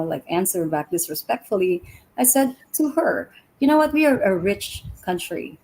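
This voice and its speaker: A woman from the Philippines who teaches elementary school talks earnestly as a guest, often doubling a word as she gets a sentence going. Her voice sits at an everyday middle pitch. A faint hiss of background noise runs under her. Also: dark tone, low-quality recording. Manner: earnest, repeats words when starting sentences. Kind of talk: guest on a podcast panel.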